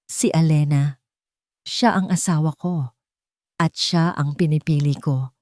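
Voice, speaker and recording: A woman's voice: deep voice, steady tone, a little slow, a little mellow, no room sound, studio quality. A woman storyteller voicing a powerful rich male landowner, a husband who is cold, formal, curt and firm. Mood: resolute.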